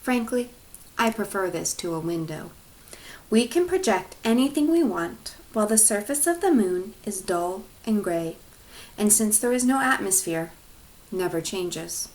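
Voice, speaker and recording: A woman teacher reading a storybook aloud to her class in an expressive narrating style. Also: medium pitch, unhurried, poor audio.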